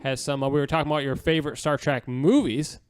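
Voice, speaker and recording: Slangy American man with a highish voice. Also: steady tone, fast, clean tone. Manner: informal, slangy. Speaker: podcast co-host. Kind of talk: casual, joking panel chat.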